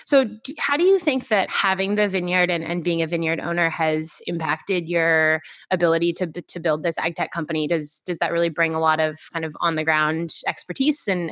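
A woman's voice: on the low side, steady tone, fairly quick, hardly any pauses, fairly bright tone. A woman hosting a podcast, interviewing and narrating in a conversational style. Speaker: podcast host.

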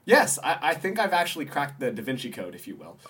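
A man's voice: mid-range voice, wildly swooping pitch, fast, fairly bright tone, slight background noise. A man co-hosting a comedy podcast in casual chat, joking and laughing often. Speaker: podcast co-host.

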